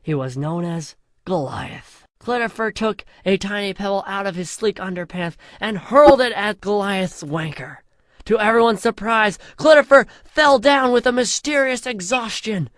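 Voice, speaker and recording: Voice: mid-range voice, expressive, moderate pace, fairly bright tone, dry recording, clean studio sound. A storyteller reading a scripted comic fantasy parody in a mock-epic way, drawing out the chapter headings.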